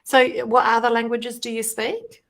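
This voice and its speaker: A woman's voice: fairly high pitch, flat delivery, measured pace, vocal fry, a bit bright. A woman hosting an interview, asking questions in an encouraging, inquisitive way. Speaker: interview host.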